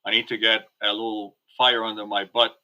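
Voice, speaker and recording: A man's voice: fairly low pitch, little pitch movement, fairly quick, sharp tone, rough recording. A man originally from Hungary, a podcast guest talking casually with many fillers over a remote call. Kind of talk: casual interview talk.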